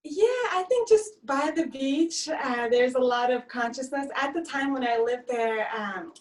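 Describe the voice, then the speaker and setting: A woman's voice: sits high, unhurried. A woman from Romania who grew up in South Florida, chatting as an interview guest over a video call, giggly between phrases. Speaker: interview guest, sound healer. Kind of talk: casual conversational interview. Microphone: video call microphone, slightly distant. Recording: slightly distant video-call mic.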